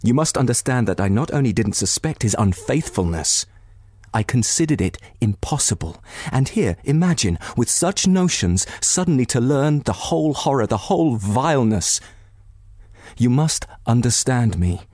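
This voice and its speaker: A man voicing a distraught, bitter betrayed wife in a novel, near tears, with anguished, halting and emphatic delivery. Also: medium pitch, big pitch swings, darkish tone, dry recording, clean studio sound.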